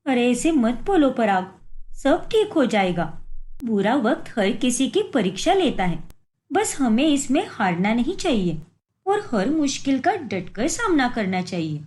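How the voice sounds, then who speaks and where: A woman's voice: fairly high pitch, sing-song, unhurried, darkish tone. A woman voicing an earnest, devoted young wife in a cartoon moral story, speaking emphatically. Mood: reassuring. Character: devoted young wife, supportive, righteous when wronged.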